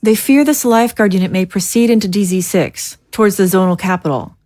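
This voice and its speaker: A woman playing a composed, formal government leader in an audio drama, speaking in a measured, diplomatic way. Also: mid-range voice, darkish tone, dry recording, studio quality, close to an everyday voice. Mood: measured, grave.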